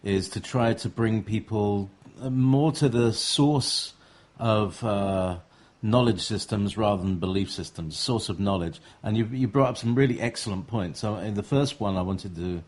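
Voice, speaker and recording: A man hosting a talk show interview, speaking in a slow, measured way with fillers. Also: on the low side, darkish tone. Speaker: host.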